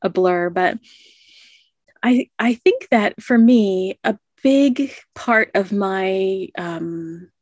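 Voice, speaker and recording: A woman's voice: medium pitch, extremely slow, dry recording. Woman, conversational storyteller, mid-range voice, dry close mic, laughs a lot. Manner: reflective storyteller, laughs often. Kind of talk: casual conversation. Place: lives in Japan.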